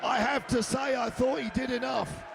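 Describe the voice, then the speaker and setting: An older man giving live fight commentary, excitable and hoarse as he loses his voice, shouting the big moments. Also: very high-pitched, moderate pace, a crowd in the background, noisy background. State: losing his voice. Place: arena.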